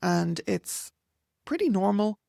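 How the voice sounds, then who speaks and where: A woman's voice: medium pitch, expressive, takes it slowly, vocal fry, dark tone, no echo, studio quality. A middle-aged Irish woman with an Irish accent, plainly and firmly explaining name pronunciations over slides. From Ireland. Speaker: author and teacher.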